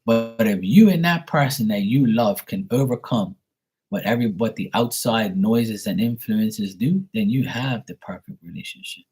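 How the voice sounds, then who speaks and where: A man's voice: lowish voice, moderate pace, darkish tone, slightly rough, low-quality recording. A man from Bermuda, hosting a live stream, talking bluntly and profanely in a rambling casual rant. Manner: blunt, profane, rambling, acts out quoted voices.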